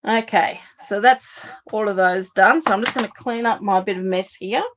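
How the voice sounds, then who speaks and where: Australian woman, crafting live stream host, chatty, slightly worn voice, mid pitch, slightly dull sound. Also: moderate pace, background noise. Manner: chatty, thinks aloud, talks to viewers while working. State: slightly losing her voice. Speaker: card-making demonstrator hosting a live stream. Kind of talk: casual live crafting demonstration.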